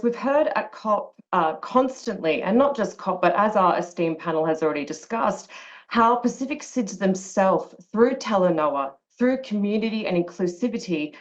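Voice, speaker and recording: A woman with a croaky voice giving formal, articulate remarks as an online panelist over a video call. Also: mid-range voice. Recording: muffled video-call audio.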